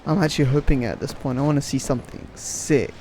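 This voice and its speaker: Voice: mid-range voice, fast talker, mellow, a little hiss or hum, poor audio. A gaming streamer giving casual, sweary, self-mocking commentary, sometimes muttering. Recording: game music behind the voice.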